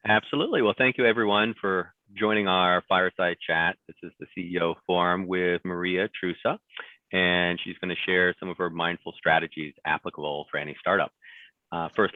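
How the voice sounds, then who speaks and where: A man's voice: low voice, no room sound. A man moderating a forum, reading a guest introduction with small hesitations. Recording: tinny dry phone-like call audio.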